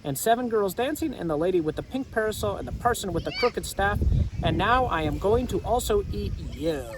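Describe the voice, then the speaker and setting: A man's voice: high-pitched, expressive, brisk, rough recording. A man voicing a greedy, boastful fat cat in a children's storybook, over background noise. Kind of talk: children's storybook read-aloud.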